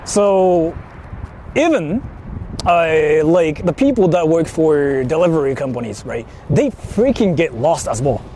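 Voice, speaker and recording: A man's voice: fairly high pitch, lively intonation, measured pace, mellow, poor audio. A man chatting casually and slangily to viewers as he livestreams from his car. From Japan. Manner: colloquial, slangy, explaining things to viewers. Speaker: livestream host. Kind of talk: casual livestream chat while driving. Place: inside a moving car in a city. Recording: clear vehicle and street noise. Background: car and urban traffic noise.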